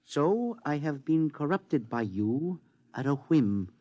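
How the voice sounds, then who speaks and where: A measured avenging engineer, slightly high voice, dry studio, old radio play. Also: male voice, big pitch swings, no room sound, muffled recording, exaggerated voice. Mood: grave. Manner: measured, formal, quietly accusing. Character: bitter foreign male engineer, calm avenger. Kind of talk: 1950s radio drama acting.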